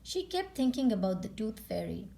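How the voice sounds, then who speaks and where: A woman reading a children's picture book aloud in an expressive storytelling style. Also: medium pitch, normal speed, gravelly. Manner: expressive, acts out character lines. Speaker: storytime reader and channel host. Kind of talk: children's read-aloud narration.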